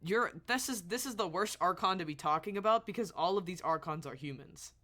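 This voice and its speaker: Bright-voiced woman streaming a game. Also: on the low side, animated, brisk. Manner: reactive, opinionated, sweary. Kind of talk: casual live gameplay commentary.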